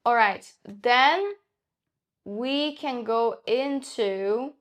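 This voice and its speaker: A young woman whose first language is Polish talks through her perfume picks at an unhurried pace. Her voice sits a little high, and she sounds eager, chatty and full of fillers. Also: fairly bright tone. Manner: chatty, enthusiastic, many fillers. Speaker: perfume reviewer. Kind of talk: casual fragrance review.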